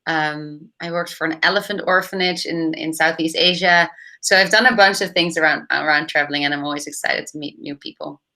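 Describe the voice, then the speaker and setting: A woman's voice: low-pitched, fairly even intonation, fairly quick, sharp tone. A woman in her thirties, originally from the Netherlands, with a light Dutch and British-tinged accent, chatting casually as an interview guest over a video call from a home office, using lots of fillers. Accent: light Dutch-tinged English with British touches. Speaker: startup founder and guest.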